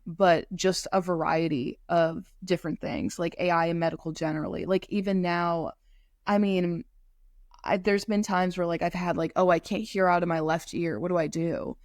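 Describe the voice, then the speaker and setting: Young woman, halting, low and dry. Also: steady tone, a little mellow, dry recording. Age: young adult. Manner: halting, many fillers and restarts. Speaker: podcast co-host. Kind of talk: casual conversational discussion.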